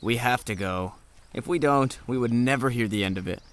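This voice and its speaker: A man's voice: mid-range voice, moderate pace, fairly bright tone, lightly acted. A man playing an earnest, devoted young student in an audio drama. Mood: sincere, easygoing. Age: young adult.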